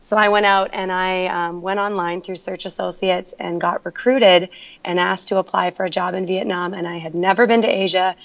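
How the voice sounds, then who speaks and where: A woman's voice: on the low side, fairly even intonation, normal speed, gravelly. A woman working as a teacher, telling her story earnestly in a podcast interview. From Canada. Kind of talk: conversational interview. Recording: dull lo-fi remote audio.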